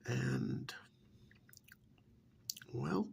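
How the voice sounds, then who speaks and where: A man playing a smug, menacing agent of a vampire in a soft-spoken ASMR roleplay, with a breathy, gravelly half-whisper and deliberate, condescending delivery. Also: slight background noise. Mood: patient, explaining. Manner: slow, deliberate, condescending, with pauses. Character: smug, menacing vampire's male agent interrogating a captured hunter.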